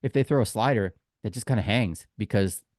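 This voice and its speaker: A man's voice: on the low side, fairly quick, darkish tone, dry recording, studio quality. A man co-hosting a casual sports podcast, telling anecdotes in a chatty, informal way and acting out exchanges. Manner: chatty, informal storyteller who acts out quoted exchanges. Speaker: podcast co-host and tour guide.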